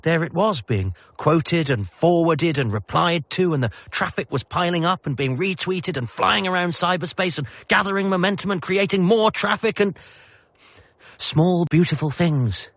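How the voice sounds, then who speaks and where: A man acting a wry, reflective, grieving son who narrates his memories in a measured, lyrical way for radio drama. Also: a little high, a bit bright, no echo, dull audio. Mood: wondering, wry. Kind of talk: scripted first-person narration in radio drama.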